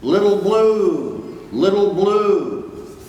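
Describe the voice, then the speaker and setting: An older man voicing a big, gentle, reassuring father whale in a patient, measured storybook manner. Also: sits high, expressive, pitched above the performer's own voice, exaggerated voice. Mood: calling. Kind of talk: storybook read-aloud character voice. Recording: distant, very echoey hall, faint noise.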